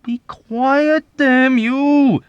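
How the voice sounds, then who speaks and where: A man's voice: mid-range voice, animated, normal speed, dry recording, muffled recording, pitched above the performer's own voice, close to an everyday voice. A man voicing a wry, exasperated young bombardier in an audiobook war novel, speaking in an emphatic, sardonic manner.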